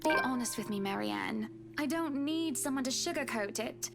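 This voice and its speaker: A woman's voice: a little high, lively intonation, normal speed, gravelly. An actress playing a candid married woman in a visual novel, confiding and insistent in a conversational way. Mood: insistent, firm. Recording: loud music behind the voice. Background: game music.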